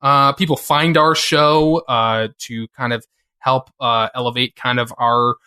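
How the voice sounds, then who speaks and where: A man co-hosting a podcast who talks at an average pitch. He speaks in a halting, rambling way, backing up and restarting his sentences as he chats. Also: steady tone, bright, forceful tone, clean tone. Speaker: podcast co-host. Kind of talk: casual chat.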